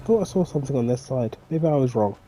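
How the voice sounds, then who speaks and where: A congested man with a cold, chatting loosely to himself as he plays through a video game. His voice is very dark and mellow. A faint hiss of noise lies beneath it. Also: mid-range voice, quick pace. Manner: relaxed, talks to himself. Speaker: gaming streamer. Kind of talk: casual gameplay commentary.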